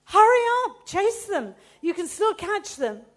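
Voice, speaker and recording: A woman's voice: very high voice, sing-song, unhurried, voice pushed up, heavily acted. A woman reading aloud the words of a desperate harlot pleading for her family's lives. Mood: urgent.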